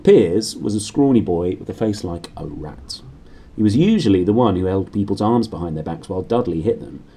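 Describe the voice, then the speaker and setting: Husky British man reading a story. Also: lowish voice, dark tone. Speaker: reader. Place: small room indoors.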